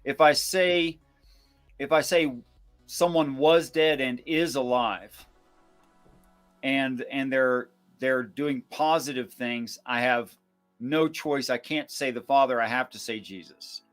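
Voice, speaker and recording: A man's voice: medium pitch, fairly even intonation, slow, a bit bright. Man explaining scripture over music. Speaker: Bible discussion co-host. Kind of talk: informal scripture commentary, reading verses aloud.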